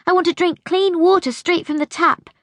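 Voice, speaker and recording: A woman's voice: extremely high pitch, fairly even intonation, normal speed, no room sound, voice pushed up. A woman voicing a bitter, insistent young girl in an audiobook story, pleading and accusing with emphasis. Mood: angry, insistent. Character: bitter, demanding young girl pleading with her great-grandmother.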